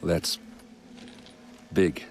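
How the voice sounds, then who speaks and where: A man's voice: extremely slow, a little hiss or hum. A man playing a brash, loyal, joking soldier captain in a video game, blustery and teasing. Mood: wry, familiar. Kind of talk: video game cutscene acting.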